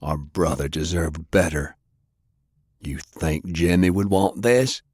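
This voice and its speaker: A man's voice: sits low, slow, darkish tone, slightly rough, no echo, studio quality, heavily acted. A man voicing a brutal, boastful, bitter male brawler with a taunting, sneering, growling delivery in an audiobook. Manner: taunting, sneering, slangy tough-guy talk.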